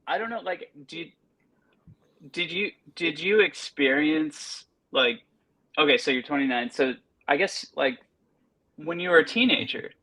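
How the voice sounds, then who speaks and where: A man's voice: on the high side, lively intonation, unhurried, vocal fry, pushed and bright, rough recording. A man in his early forties hosting a casual podcast chat, rambling with many restarts and fillers. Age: 40s. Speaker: podcast host.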